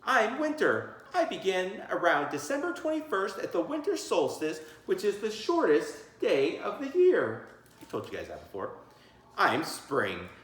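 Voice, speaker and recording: Animated man reading aloud, echoey room. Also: high voice, a little slow. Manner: theatrical, encouraging, chatty asides. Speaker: teacher reading a picture book aloud. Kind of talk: read-aloud storytelling with asides and questions to children.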